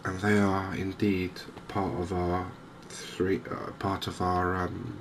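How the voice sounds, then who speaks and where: A man softly narrating a game in ASMR style, hushed and hesitantly thinking aloud. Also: sits low, completely flat delivery, slow. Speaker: gaming video host. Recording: noticeable background ambience noise. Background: game ambience.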